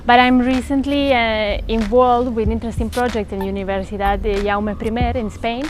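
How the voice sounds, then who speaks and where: A woman's voice: a little high, fairly even intonation, moderate pace, a little hiss or hum. A young woman from Serbia, a PhD candidate, answering interview questions outdoors with frequent hesitations. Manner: hesitant, with fillers.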